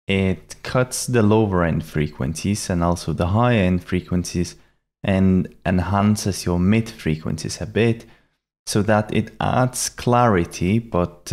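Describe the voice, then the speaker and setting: A young adult man explaining software step by step in a measured, instructional way. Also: deep voice. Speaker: tutorial presenter. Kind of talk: step-by-step software tutorial narration. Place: small indoor room at a computer.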